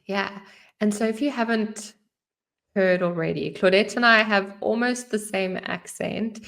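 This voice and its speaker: A woman's voice: medium pitch, a little slow, creaky, a bit bright. A South African woman hosting a podcast in casual chat, chatty and laughing now and then. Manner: chatty, laughs often, fillers. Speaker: podcast host.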